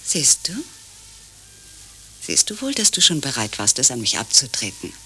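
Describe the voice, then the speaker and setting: A woman's voice: very deep voice, very animated, moderate pace, pushed and bright, slight background noise, exaggerated voice. An actress playing a distrustful, needling woman in radio play dialogue, insistent and probing. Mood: suspicious, needling. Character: distrustful, needling visitor pressing for honesty.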